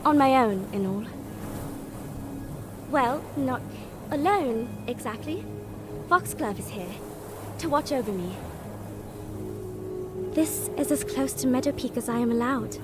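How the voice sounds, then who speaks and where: A woman's voice: high-pitched, lively intonation, slow pace, pauses often. A woman voicing a timid, sheltered young rabbit in a fantasy visual novel, hesitant and sweetly naive. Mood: shy, wistful. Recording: music behind the voice.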